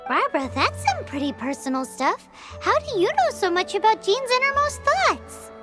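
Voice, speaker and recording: A woman's voice: very high voice, very animated, moderate pace, loud music behind the voice. A woman voicing a tiny, chirpy, nosy floating female companion in a video game. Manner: bubbly, blunt and curious.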